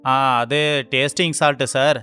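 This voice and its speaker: A man's voice: medium pitch, fairly even intonation, unhurried, bright, forceful tone, faint music behind. A man playing a defensive, shifty biryani seller in a moral story, evasive and self-justifying. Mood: nervous, defensive. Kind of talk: moral story character acting.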